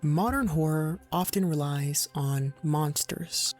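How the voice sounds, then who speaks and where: A man reading scripted documentary narration in a measured, explanatory way, with short dramatic phrases. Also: fairly high pitch. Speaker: video narrator. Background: background music.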